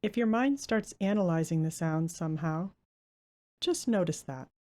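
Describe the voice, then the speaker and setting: Female meditation guide, lowish and very soft-edged in tone, gentle, unhurried delivery with generous pauses. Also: lively intonation.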